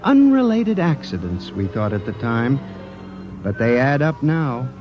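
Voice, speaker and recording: An earnest nervous office clerk telling a nightmare, voice swings a lot, muffled old radio sound w music. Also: male voice, mid-range voice, very animated, measured pace. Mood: grave, reflective. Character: earnest, anxious male statistical clerk recounting a nightmare. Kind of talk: 1950s radio drama acting and narration. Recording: muffled vintage radio recording with music behind.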